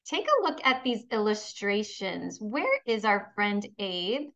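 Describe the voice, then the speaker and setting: A woman's voice: mid-range voice, very animated, takes it slowly, a bit bright, highs cut off. A woman teaching kindergarten in a read-aloud lesson, encouraging and instructional as she addresses young pupils.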